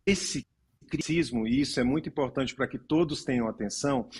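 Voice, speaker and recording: A man from Pernambuco, a lawyer on a studio panel, warm and explanatory in a measured way. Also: medium pitch, husky. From Pernambuco, Brazil. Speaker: lawyer, commentator.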